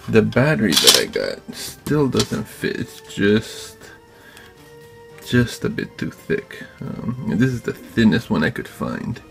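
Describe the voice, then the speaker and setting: A man hosting a craft tutorial, talking informally and haltingly as he thinks aloud while working. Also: on the low side, vocal fry, a bit bright. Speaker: DIY maker and video host. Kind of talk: casual hands-on tutorial narration. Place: indoor craft workbench.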